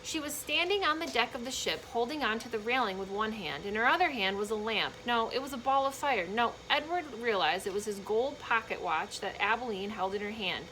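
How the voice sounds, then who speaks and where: A woman reading a children's book aloud in an expressive, emphatic storytelling manner, with short explanatory asides for young listeners. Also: on the high side, fairly quick, hardly any pauses, a little hiss or hum, poor audio.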